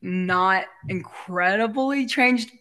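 A woman guest in a casual interview with a raspy, very bright voice. She talks effusively and loosely, rambling from one thought to the next in a giggly manner. Her pitch sits in the middle range for a woman. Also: extremely slow. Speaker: guest, realtor and musician.

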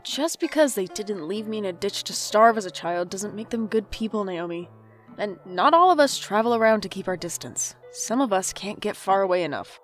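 A woman's voice: medium pitch, expressive, moderate pace, faint music behind, natural voice. A woman acting as a sardonic, stubborn older sibling in a naturalistic audio drama, with a dry, sarcastic delivery. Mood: angry, insistent. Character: sardonic, guarded older sibling, stubborn and bitter about parents.

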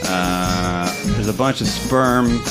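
A man co-hosting a comedy podcast, crude and sarcastic, swinging from tired mumbling to loud rants. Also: on the low side, takes it slowly, over loud music. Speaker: podcast co-host. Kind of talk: casual comedic chat.

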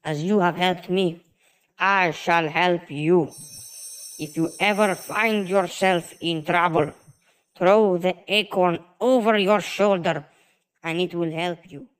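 Forest creature role: a woman as a grateful squirrel, smooth and slow, solemn. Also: fairly low pitch, steady tone, poor audio. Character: grateful female squirrel bestowing a magic gift. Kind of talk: children's radio play acting.

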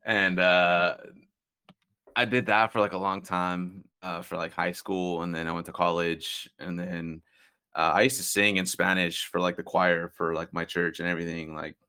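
A man's voice: low-pitched, little pitch movement, moderate pace, pushed and bright, smooth. A young adult man chatting casually as a podcast guest, rambling with lots of "like" and "uh" and frequent restarts. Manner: rambling, many fillers and restarts. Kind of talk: casual conversation.

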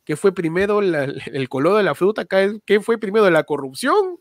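A man's voice: high voice, sing-song, moderate pace, dry recording, clean studio sound. A man chatting casually about stand-up comedy, rambling, restarting often and using lots of slang. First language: not Spanish.

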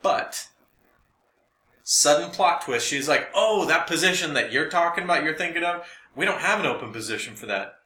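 A man's voice: fairly high pitch, expressive, normal speed, bright, forceful tone. An American man chatting casually as a podcast co-host, telling stories in a jokey way and acting out bits of conversation. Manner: jokey, acts out lines from conversations. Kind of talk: casual chat, anecdotes and joking. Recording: roomy, echoey sound.

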